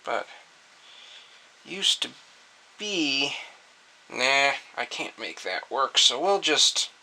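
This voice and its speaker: A man's voice: medium pitch, big pitch swings, very slow, pushed and bright, a little hiss or hum, rough recording. A man casually demonstrating a hands-on repair, thinking aloud as he works. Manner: thinking aloud while working. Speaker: host of an antique radio repair video.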